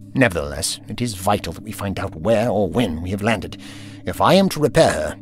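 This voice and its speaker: Man acting as fussy, imperious time traveller, swooping voice. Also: on the low side. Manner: pompous, scolding, professorial. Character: imperious, fussy, irritable time-travelling alien doctor scolding his companion. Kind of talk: audio drama acting.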